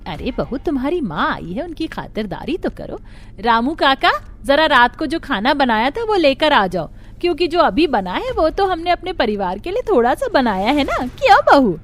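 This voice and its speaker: A woman's voice: sits high, sing-song, moderate pace. A woman voicing a rich, sly, demanding older mother-in-law in a cartoon story, bossy and falsely sweet. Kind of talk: Hindi cartoon story dialogue.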